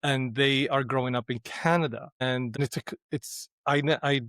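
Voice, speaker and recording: A man's voice: mid-range voice, steady tone, moderate pace, a bit bright, no echo, studio quality. A middle-aged man from Syria, a podcast guest speaking reflectively with frequent restarts. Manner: thoughtful, hesitant with restarts. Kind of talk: reflective conversation.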